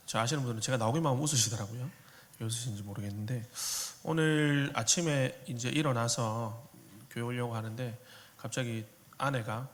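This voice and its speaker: A man in his thirties, a pastor preaching a sermon in a relaxed, joking, conversational way, with a slight Korean regional dialect. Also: mid-range voice. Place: church sanctuary. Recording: long hall reverb.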